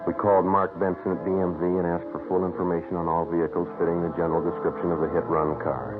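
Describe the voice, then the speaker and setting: An actor playing a terse, matter-of-fact American police sergeant in a 1950s radio crime drama, speaking in clipped, flat phrases. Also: male voice, sits low, fast talker, over music, close to an everyday voice. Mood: flat narration. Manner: clipped, flat, businesslike delivery. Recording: muffled, hissy vintage radio recording.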